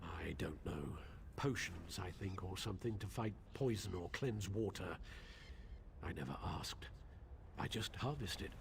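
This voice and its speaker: Timid injured mine keeper, hesitant, pleading, video game character, some background noise. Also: male voice, sits low, unhurried, creaky. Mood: explaining. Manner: hesitant, stammering.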